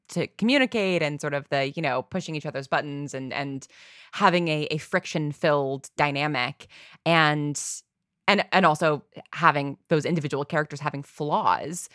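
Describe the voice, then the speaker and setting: Low, dry-sounding woman thinking aloud. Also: fairly bright tone, no room sound. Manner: halting, thinking aloud, repeating words. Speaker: podcast guest, audio drama writer. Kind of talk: casual conversational interview.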